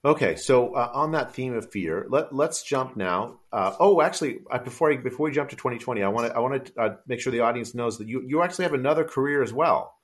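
A middle-aged man hosting a podcast interview, talking in a halting way with many restarts. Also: medium pitch, expressive. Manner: halting, full of restarts and fillers. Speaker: podcast host, professor. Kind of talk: conversational interview.

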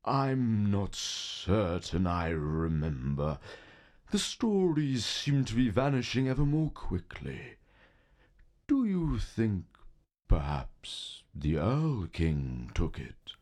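A man's voice: fairly low pitch, sing-song, a little mellow. A man playing a brooding, forgetful spirit who guards graves, telling old tales in a solemn theatrical manner for an audio play. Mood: musing. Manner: solemn, theatrical, deliberate storytelling. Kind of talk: audio play with verse recitation.

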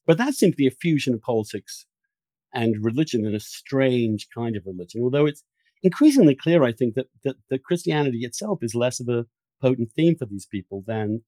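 A man hosting a podcast, talking through opinionated political points in a halting, emphatic way, restarting phrases as he goes. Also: mid-range voice, lively intonation, dark tone, dry recording. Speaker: podcast host.

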